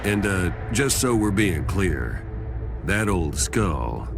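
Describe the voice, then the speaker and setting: An actor playing a wry old talking skull who narrates a game's cutscenes in a folksy, sardonic Southern American drawl. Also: male voice, low-pitched, big pitch swings, slow pace, creaky, heavily acted. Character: wry old talking skull, male demonic drifter storyteller. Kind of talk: scripted game cutscene narration. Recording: music behind the voice.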